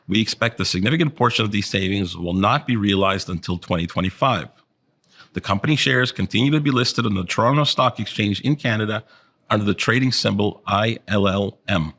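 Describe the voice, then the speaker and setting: Male finance executive, lowish voice, formal scripted earnings presentation, measured corporate delivery. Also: creaky, a bit bright. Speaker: chief financial officer presenting results.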